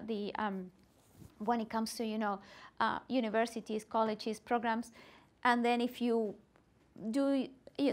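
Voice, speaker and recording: A woman's voice: fairly high pitch, gravelly. A middle-aged woman presenting at a teacher workshop, talking animatedly and with emphasis in a meeting room. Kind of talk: workshop discussion.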